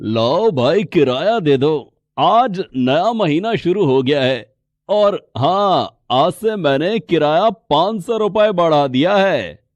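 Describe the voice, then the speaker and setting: A man playing a stern, hard-hearted landlord in a moral story cartoon, speaking curtly and deliberately. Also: fairly high pitch, animated, no room sound. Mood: demanding. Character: stern, hard-hearted male shop landlord, demanding rent.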